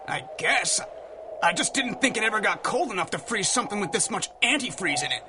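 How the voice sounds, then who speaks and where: An actor playing a jittery, defiant bootlegger in a 1930s-style radio drama, stammering and excitable. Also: male voice, high-pitched, brisk, noisy background. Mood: uneasy. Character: jittery, defiant bootlegger gangster, adult man.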